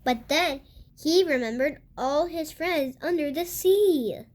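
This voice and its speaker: A child reading a picture book aloud for other kids, with expressive, emphatic storytelling. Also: sits high, slow pace. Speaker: host of a read-aloud channel.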